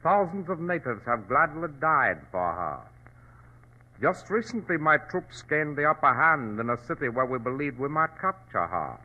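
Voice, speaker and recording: A wealthy, ruthless governor from China in an old radio play. His speech is courtly and very animated, slightly high, through a narrow tinny broadcast sound. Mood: disdainful, grave. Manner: courtly, formal, measured. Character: dignified, ruthless wealthy Chinese provincial governor. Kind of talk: 1940s radio drama acting. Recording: thin, narrow-band old radio recording.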